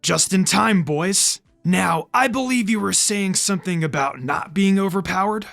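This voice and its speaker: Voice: mid-range voice, normal speed, gravelly, a bit bright, faint music behind. A narrator voicing a brash, defiant teenage boy ninja hero in a read-aloud story, cocky and blunt. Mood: smug.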